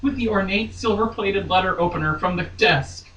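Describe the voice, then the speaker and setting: A woman's voice: medium pitch, moderate pace, a bit bright. Theatrical woman in a roomy, distant recording. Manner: theatrical, hammy, jokey. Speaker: podcast co-host reading a novel aloud. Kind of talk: mocking dramatic reading with chatty asides. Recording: roomy, distant mic with faint noise.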